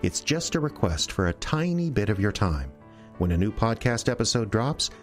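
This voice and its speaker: A man hosting and announcing a radio drama show, reading credits and an earnest appeal to listeners. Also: medium pitch. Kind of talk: scripted announcement.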